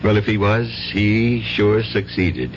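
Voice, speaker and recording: A man's voice: lowish voice, lively intonation, slow, slight background noise. Flustered, guilty father, muffled radio. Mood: bitter. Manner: stammering, hesitant. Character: flustered, guilt-ridden gambling father, defensive and evasive. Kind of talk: 1970s radio drama acting. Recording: muffled vintage radio broadcast.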